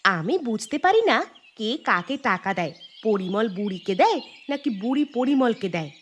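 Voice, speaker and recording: A woman's voice: fairly high pitch, very animated, moderate pace, a little hiss or hum. Woman playing a gossipy, suspicious village wife. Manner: gossipy, accusing. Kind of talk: cartoon voice acting.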